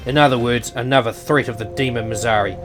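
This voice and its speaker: A man playing a brooding young anti-hero fighter in an animated story, sardonic and world-weary. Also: medium pitch, fast, soft background music, lightly acted. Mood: bitter, sardonic.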